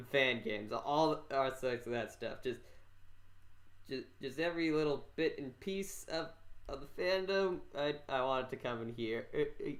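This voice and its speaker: Rambling man hosting a casual podcast. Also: medium pitch. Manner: rambling, halting, repeats phrases. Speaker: podcast host.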